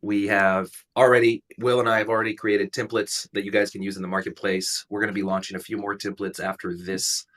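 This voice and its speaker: A man in his thirties hosts a casual livestream, his lowish voice raspy and stuffed up from allergies, though still bright in tone. He rambles along and makes jokes at his own expense. He sits a little back from the microphone. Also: distant mic. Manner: rambling, self-deprecating joking. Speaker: livestream host.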